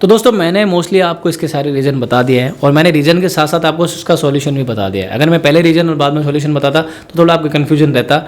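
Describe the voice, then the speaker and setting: Man, brisk tutorial voice, raised pitch, rambling explainer. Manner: rambling, explanatory, addresses viewers as friends. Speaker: musician teaching sound setup. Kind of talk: spoken tutorial.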